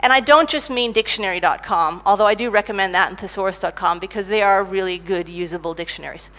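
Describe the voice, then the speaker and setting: Lively woman giving a conference talk. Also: medium pitch, quick pace. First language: English. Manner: lively, self-mocking, animated storytelling. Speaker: conference speaker, technical writer.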